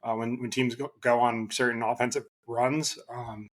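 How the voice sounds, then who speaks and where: Flat-voiced man losing his voice. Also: mid-range voice. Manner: halting, many restarts and fillers. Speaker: podcast guest. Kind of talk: casual basketball podcast chat.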